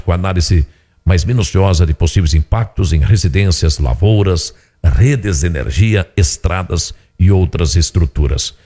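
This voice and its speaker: Deep-voiced newsreader, man. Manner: steady measured newsreading with occasional slips. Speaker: news presenter. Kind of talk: regional radio news reading.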